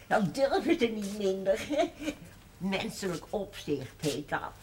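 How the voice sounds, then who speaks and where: A woman's voice: mid-range voice, animated, takes it slowly. An actress playing a pious, teasing, plain-spoken village woman in a naturalistic television period drama. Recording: 1970s TV audio with faint noise.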